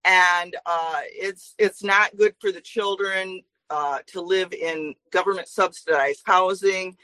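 A woman in her forties speaking as a guest, earnest and talkative with many fillers. Her voice is bright and forward, recorded dry with no room sound. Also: lowish voice, steady tone, slow pace, no echo, poor audio. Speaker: guest, traveling minister. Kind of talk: interview guest talk.

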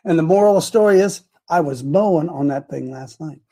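An American man with a raspy voice hosting a religious talk show, chatting casually in a folksy, animated way and telling rambling personal stories. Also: a little high, brisk. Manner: folksy, animated, repeats phrases for emphasis.

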